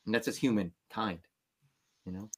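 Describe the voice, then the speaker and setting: A man's voice: fairly low pitch, normal speed, breathy edge. A man in his thirties, born in Vietnam, talking as a podcast guest in an earnest, emphatic, motivational way. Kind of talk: candid interview talk.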